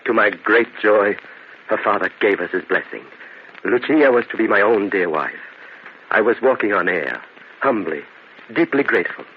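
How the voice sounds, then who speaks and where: A man's voice: on the low side, lively intonation, normal speed, pauses often. An actor playing an earnest, theatrical Italian nobleman in an old-fashioned radio drama. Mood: brooding, wistful. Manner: earnest, theatrical, formal wording. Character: embittered Italian nobleman narrating, once a lovestruck, trusting young man. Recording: muffled, hissy old radio drama recording.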